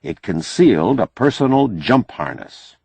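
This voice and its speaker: A man's voice: fairly low pitch, sing-song, measured pace, gravelly, a little mellow, husky, no room sound. A man narrating a novel as an audiobook, reading scripted prose expressively.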